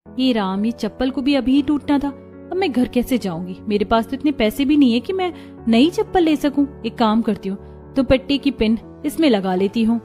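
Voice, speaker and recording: A woman voicing a poor, gentle young college girl in a cartoon story, earnest and plaintive. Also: on the high side, lively intonation, normal speed, faint music behind, lightly acted. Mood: worried. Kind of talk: Hindi cartoon moral story acting.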